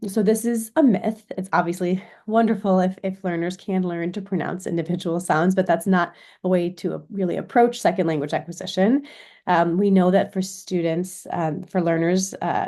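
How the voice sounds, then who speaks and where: Female American lecturer, lowish pitch, informal explanatory delivery with frequent check-ins. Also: mellow. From Chicago, United States. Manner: explanatory, often checks with "right?". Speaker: university lecturer in education.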